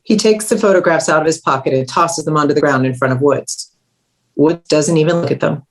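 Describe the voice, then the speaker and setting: A woman narrates a screenplay at a table read. Her voice sits low to middling in pitch, and she reads the scene directions as scripted narration. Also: brisk.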